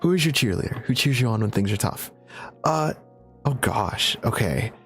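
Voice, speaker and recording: A young man chatting casually on a livestream, answering viewer questions in a relaxed, chatty way with frequent laughs and fillers. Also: mid-range voice, moderate pace, slightly rough, faint music behind. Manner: laid-back, chatty, laughs often, many fillers. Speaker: streamer.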